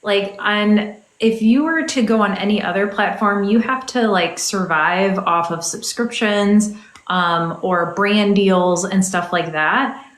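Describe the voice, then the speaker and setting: A woman's voice: medium pitch, roomy sound. A woman political commentator talking casually on a video call, chatty, with frequent laughs. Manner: chatty, laughs often, many fillers. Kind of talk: casual chat.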